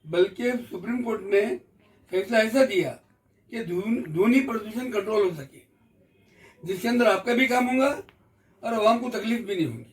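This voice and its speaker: High-pitched Indian man, distant from mic. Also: normal speed. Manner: methodical, repeats and rephrases points. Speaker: speaker explaining court rules to the public. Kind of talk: informal explanatory talk.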